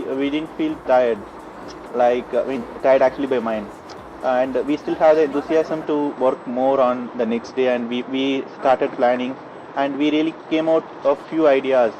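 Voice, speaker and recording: A young man, a student, recounting a team project in halting English. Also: mid-range voice, highs cut off, background noise. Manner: halting, non-native phrasing. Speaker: master's student. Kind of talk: interview, recounting.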